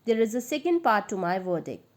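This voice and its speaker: A woman voicing a calm, wise male judge with measured authority in a storybook reading. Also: medium pitch, close to an everyday voice. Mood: calm, judicial.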